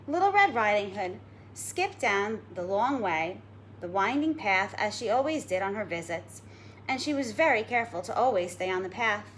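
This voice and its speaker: A woman schoolteacher giving a read-aloud lesson for children, explaining simply and deliberately. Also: mid-range voice, lively intonation, slight background noise, poor audio. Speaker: elementary school teacher.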